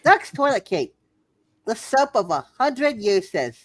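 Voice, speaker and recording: A woman's voice: on the high side, big pitch swings, slow pace, dry recording. A woman announcer reading old-time radio sponsor spots in a playful, hammy style.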